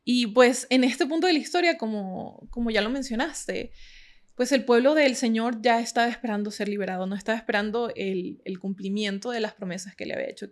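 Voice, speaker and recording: Friendly woman from Ecuador. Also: fairly high pitch. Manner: friendly, hesitant, with many fillers. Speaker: guest. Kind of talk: scripture study discussion.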